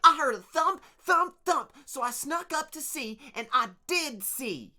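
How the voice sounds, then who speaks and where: A man voicing a skinny, excitable young boy with a shrill, high voice who shrieks accusations. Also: measured pace, exaggerated voice. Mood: frantic.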